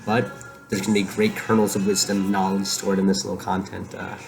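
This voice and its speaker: Young guy rambling softly at home, lowish voice, some music faint behind him. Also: monotone, fairly quick, gravelly. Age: young adult. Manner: quiet, musing, heavy on fillers. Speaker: podcast host. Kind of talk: rambling solo monologue. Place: room in a shared house, rain outside. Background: faint music playing.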